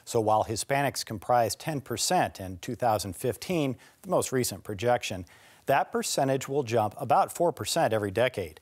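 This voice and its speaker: A middle-aged male host introduces the program and puts questions to his studio guests. He speaks in a measured, clear way at an ordinary mid pitch. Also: animated. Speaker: TV news host. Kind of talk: scripted presenting and interview questions. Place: TV studio set. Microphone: lapel.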